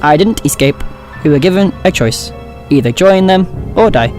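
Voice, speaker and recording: Guy as an android astronaut, kinda high voice, earnest and regretful, sci-fi audio play. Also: lively intonation, normal speed, darkish tone, soft background music. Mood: troubled. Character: part-android male astronaut, regretful, dutiful. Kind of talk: fan audio drama acting.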